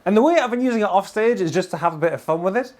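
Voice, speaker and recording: A man's voice: high voice, lively intonation, very fast, a little mellow. A man in his thirties with a British accent, giving a chatty, enthusiastic, informal gear demonstration. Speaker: guitar gear reviewer. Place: small home studio full of guitars and amps. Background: electric guitar playing.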